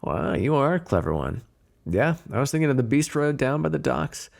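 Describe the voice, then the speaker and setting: A smooth-talking mob boss played by a man in an ASMR roleplay, teasing and quietly threatening. The voice has a gravelly creak and swings freely up and down in pitch. Phrases are drawn out with flirtatious insinuation. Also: very animated, normal speed. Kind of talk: ASMR roleplay voice acting, one-sided dialogue.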